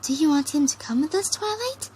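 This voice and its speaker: A shy pegasus mare played by a woman reading a story who frets and hesitates. The voice is squeaky and raised, wavering widely in pitch. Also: expressive, a little fast, a little mellow. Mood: gentle. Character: timid, worried, soft-spoken female pegasus pony.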